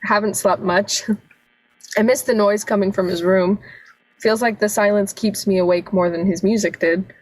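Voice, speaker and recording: A woman's voice: mid-range voice, fairly even intonation, normal speed, darkish tone, dry recording, close to an everyday voice, poor audio. A woman acting in a radio play as a weary, forgiving, bereaved woman. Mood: weary, consoling.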